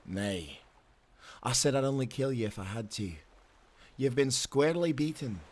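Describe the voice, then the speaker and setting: An actor playing a stern but merciful sailor in an audio drama, with firm, old-fashioned seafaring speech. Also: male voice, medium pitch, animated, normal speed, a little mellow, close to an everyday voice. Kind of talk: dramatic audio roleplay acting.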